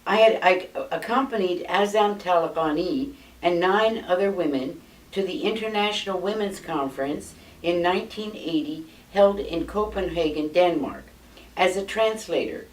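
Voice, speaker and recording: An American woman in her eighties reads her own life story aloud from notes in a measured, steady way, seated in a small indoor room. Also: lowish voice. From United States. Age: 80s. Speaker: memoir narrator. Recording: roomy sound from a distant mic.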